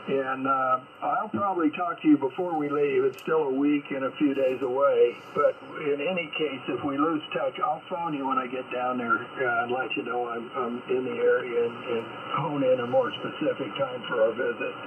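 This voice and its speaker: A man's voice: medium pitch, steady tone, moderate pace, hardly any pauses. A man on a ham radio call, chatting casually and rambling with many fillers. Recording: tinny radio line with noise.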